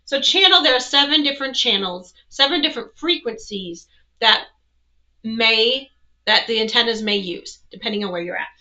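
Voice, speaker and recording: A woman's voice: a little high, moderate pace, pushed and bright, low-quality recording. A woman presenting an instructional product walkthrough, explaining things step by step. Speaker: presenter, weather educator.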